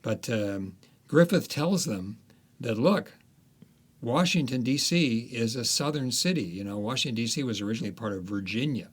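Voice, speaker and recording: A male author, interviewed as a guest, recounting history and his book's plot in a storytelling way, with frequent pauses and fillers. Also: mid-range voice, animated, breathy edge.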